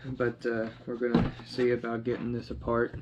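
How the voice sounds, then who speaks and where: A man in his twenties talking casually through a hands-on DIY job, often halting and searching for words. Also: medium pitch, monotone, muffled recording. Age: 20s. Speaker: DIY vlogger. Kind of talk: casual how-to narration while working. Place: indoors: vehicle cab in a shed, later a basement room. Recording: clearly noisy camera audio with clicks.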